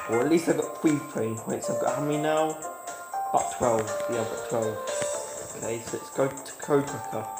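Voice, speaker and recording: A man with a cold, sniffling, chatting casually to his viewers while he plays, and shouting when things go wrong. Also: mid-range voice, normal speed. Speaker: gaming YouTuber. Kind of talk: casual gameplay commentary. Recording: roomy with loud game music.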